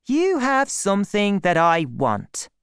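A woman's voice: medium pitch, huge pitch swings, takes it slowly, clean tone, pitched above the performer's own voice, full character voice. A woman voicing a confident, cunning boy in a storybook, speaking in an assured, commanding way.

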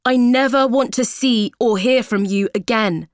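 A woman voicing an angry man shouting accusations in a phone message. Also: fairly high pitch, measured pace, no room sound, studio quality, pitched above the performer's own voice, natural voice. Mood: furious.

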